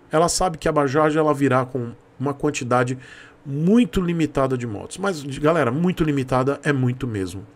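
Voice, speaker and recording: A man in his thirties speaks with a Bahian accent from Brazil in a fairly high-pitched voice. He hosts an informal commentary video, driving points home by repeating them. He talks close to a desk microphone in a small room at home. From Salvador, Bahia, Brazil. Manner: emphatic, repeats points for stress. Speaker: YouTube host, motorcycle commentator. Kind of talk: informal opinion commentary to camera. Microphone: desk microphone close in front.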